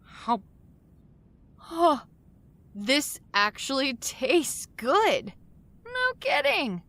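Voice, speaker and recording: An actress playing a curious, wonderstruck newcomer to new technology in an audio drama, with a creaky voice. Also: female voice, high-pitched, wildly swooping pitch, extremely slow, fairly bright tone, heavily acted. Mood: amazed. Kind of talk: scripted audio drama acting.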